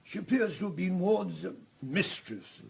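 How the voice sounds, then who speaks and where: An actor playing a fussy, formal French public prosecutor in a radio drama, with an officious manner. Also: male voice, high voice, expressive, measured pace, gravelly, darkish tone, slightly rough, heavily acted. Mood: officious, concerned.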